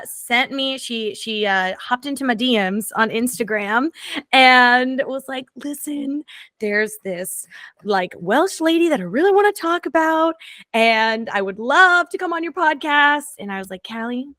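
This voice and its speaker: A woman hosting a history podcast, bubbly and enthusiastic, quick to laugh. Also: sits high, very animated, sharp tone, no room sound, studio quality. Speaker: podcast host. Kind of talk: casual podcast chat.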